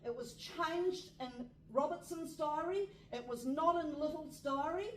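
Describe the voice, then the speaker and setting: A woman's voice: high-pitched, slight background noise. Distant woman in an echoey hall. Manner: halting, reads out dates and details. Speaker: questioner at a public meeting. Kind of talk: questioning politicians from the floor. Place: public meeting hall. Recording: echoey hall from far off, crowd behind. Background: audience.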